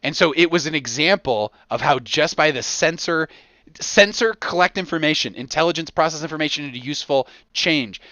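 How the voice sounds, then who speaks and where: A man's voice: a little high, fairly quick, dry recording. A man hosting a podcast, rambling casually through stories, acting out imagined quotes and swearing now and then. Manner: animated storyteller who acts out imagined quotes, swears casually. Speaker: podcast host.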